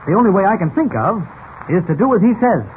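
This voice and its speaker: A decisive reporter, tinny old radio. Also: male voice, sits high, sing-song. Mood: determined. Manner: brisk, authoritative, reassuring. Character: calm, decisive newspaper reporter, grown man. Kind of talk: 1940s radio serial acting. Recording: tinny, band-limited old radio with hiss.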